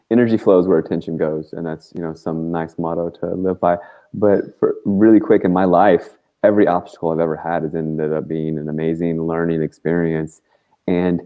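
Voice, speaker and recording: Male panelist, very low register, casual delivery, dull lo-fi recording. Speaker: livestream panelist. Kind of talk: casual chat. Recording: dull, muffled lo-fi audio.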